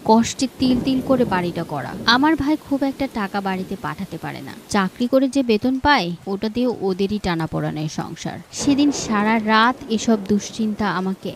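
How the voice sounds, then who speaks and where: A woman's voice: mid-range voice, lively intonation, fairly quick, hardly any pauses, natural voice. A woman with a Bangladeshi accent playing a worried, caring married daughter in a cartoon, anxious and tender. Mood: troubled, reflective. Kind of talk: cartoon dialogue and storytelling. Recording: faint background noise.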